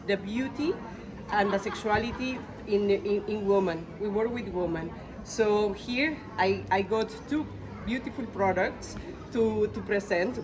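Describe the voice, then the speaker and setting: A woman gives a promotional introduction as a guest. Crowd noise is clear behind her. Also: medium pitch. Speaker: beauty salon owner, interview guest.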